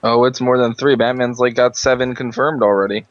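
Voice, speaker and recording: A man's voice: mid-range voice, fairly even intonation, brisk, no room sound, highs cut off. A man co-hosting a stream, chatting in a matter-of-fact way about a fighting game. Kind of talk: casual game chat.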